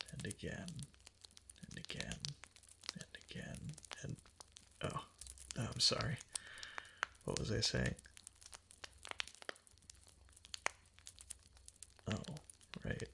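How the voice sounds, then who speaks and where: Male actor as kitsune husband for ASMR roleplay: very low, swooping intonation, breathy and gravelly, tender yet obsessively devoted. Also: noisy background, full character voice. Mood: menacing, obsessed, then tender, playful. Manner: tender, halting, cooing endearments. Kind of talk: intimate roleplay ASMR, soft and partly whispered.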